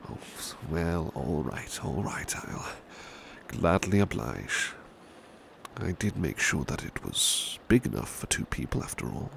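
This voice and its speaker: A man acts out a devoted British butler in an ASMR roleplay, with an extremely low voice full of creaky fry. He murmurs gently and courteously, a little hesitant, with pitch that swings widely from phrase to phrase. He sounds like a big, protective servant keeping close watch. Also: measured pace, heavily acted. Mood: deferential, hesitant. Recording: steady background noise, rain ambience. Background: rain ambience.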